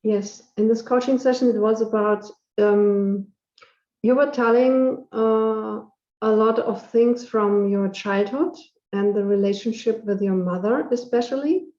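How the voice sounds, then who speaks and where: A woman coach interviewing a client, asking gentle questions with pauses. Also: mid-range voice, echoey room, lo-fi sound. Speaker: coach and host.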